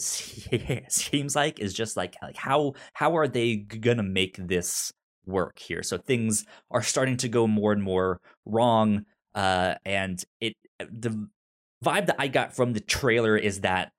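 A man with a pronounced stutter, casually pitching films as a podcast host in a halting, informal way. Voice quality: pronounced stutter with repeated initial sounds. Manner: halting, informal, hesitant phrasing.

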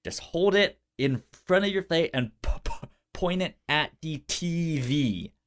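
A man's voice: on the high side, expressive, a little slow. A man chatting casually as a podcast co-host, stuttering on first sounds of words and acting out conversations as he tells stories. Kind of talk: casual storytelling chat.

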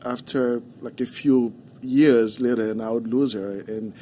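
A man from Haiti with a Haitian accent, a podcast guest telling his story in a soft, halting, earnest way. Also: mid-range voice, fairly even intonation. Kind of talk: podcast interview. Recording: faint background noise.

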